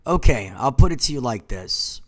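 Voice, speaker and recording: A congested man who founded a company, talking in a rambling monologue that trails off into quiet asides. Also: medium pitch, animated, normal speed. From Washington, D.C. area.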